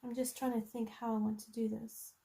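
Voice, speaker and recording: A woman's voice: a little high, little pitch movement, brisk, mellow. A woman in her thirties from South Africa, with a South African accent, chatting casually and thinking aloud while she colors on a live stream. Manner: chatty, friendly, thinks aloud with many ums. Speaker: coloring channel host.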